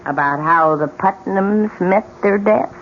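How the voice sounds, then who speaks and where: A woman's voice: medium pitch, slow, close to an everyday voice. A woman playing a bitter, needling old widow who tells ominous tales in an old-time radio drama. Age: older. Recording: muffled, noisy vintage radio recording.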